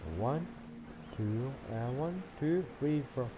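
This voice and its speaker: A man's voice: medium pitch, lively intonation, takes it slowly, heavy background noise. A man giving casual live commentary as a game streamer. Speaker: game livestreamer.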